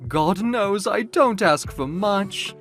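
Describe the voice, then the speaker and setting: A man voicing an elegant, camp fashion boss in an audio drama, effusive, smirking and condescending, with drawn-out emphasis. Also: high voice, animated, a bit bright, soft background music, pitched above the performer's own voice, heavily acted. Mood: scheming, condescending.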